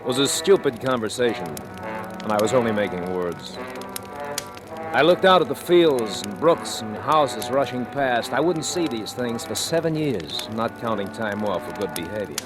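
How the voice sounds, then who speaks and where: An actor playing a shrewd, cynical convicted embezzler who narrates his crime in deliberate, dramatic 1940s radio thriller style. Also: medium pitch, sing-song, lightly acted. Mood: wry, calculating. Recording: old radio drama with music behind.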